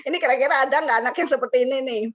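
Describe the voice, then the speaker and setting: A woman hosting an interactive online webinar, chatty and engaging listeners with questions. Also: high voice. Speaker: webinar host and teacher mentor. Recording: muffled lo-fi online call audio.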